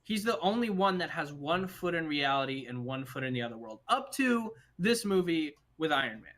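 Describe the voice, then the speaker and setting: A man's voice: fairly high pitch, sing-song, normal speed, a bit bright. A young man in a casual group discussion about film, explaining his points earnestly as a podcast co-host.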